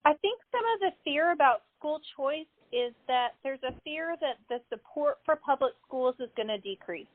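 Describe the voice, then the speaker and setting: A woman with a fairly high voice coming through a thin telephone line. She speaks earnestly and steadily as an educator, pausing on ums while she thinks. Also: expressive. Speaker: educator at a special school. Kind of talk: panel answer on a call-in town hall. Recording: tinny phone line.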